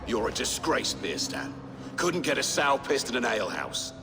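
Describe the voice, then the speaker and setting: A man playing a gruff, contemptuous Saxon thane in a game cutscene, speaking bluntly. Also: medium pitch, sing-song, moderate pace, long reverb tail, over music. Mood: scornful. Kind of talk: dramatic game cutscene acting.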